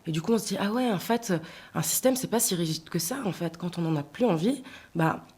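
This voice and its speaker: Thoughtful Tunisian-born woman, lowish voice. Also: animated, a little fast, darkish tone, husky. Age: 30s. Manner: thoughtful, many hesitations and restarts. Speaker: singer-songwriter guest. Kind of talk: radio interview answers.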